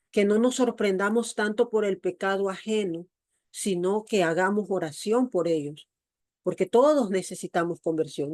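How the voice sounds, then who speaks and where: A middle-aged Ecuadorian woman gives a personal testimony of faith at a slow, halting pace, often repeating words. Her voice sits at an average pitch, and the recording is dry and close. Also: a little mellow, no echo. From Guayaquil, Ecuador. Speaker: guest speaker giving testimony. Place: online meeting.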